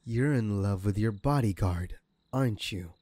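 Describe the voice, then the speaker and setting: A man's voice: fairly low pitch, measured pace, mellow, dry recording. A man playing a smugly menacing, vengeful crime villain in an audio roleplay, with a mocking, theatrical delivery. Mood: mocking amusement. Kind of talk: scripted ASMR audio roleplay.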